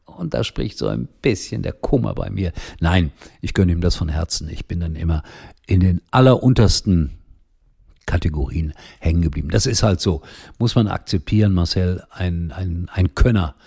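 Man, older, low voice, husky, slightly hoarse from a cold, chatty rambling football talk. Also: moderate pace, mellow. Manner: rambling, chatty, with frequent fillers. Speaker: football commentator and show host. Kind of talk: casual daily football talk to camera.

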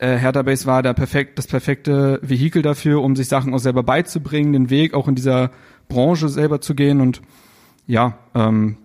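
A man's voice: medium pitch, darkish tone. A young man from Berlin, a guest on a live stage panel, telling his story earnestly with a few fillers. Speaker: guest blogger. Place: theater stage.

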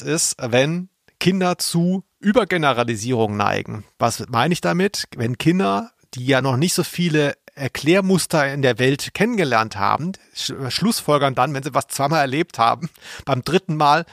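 A man co-hosting a podcast in casual conversation, often halting as he searches for words. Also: medium pitch, vocal fry, fairly bright tone, no room sound, studio quality. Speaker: podcast co-host. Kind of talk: casual chat about radio plays.

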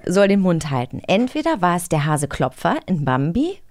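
A woman's voice: lowish voice, sing-song, moderate pace, a little mellow. A woman co-hosting a casual radio chat in a studio, talking in an informal, colloquial way. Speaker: co-host.

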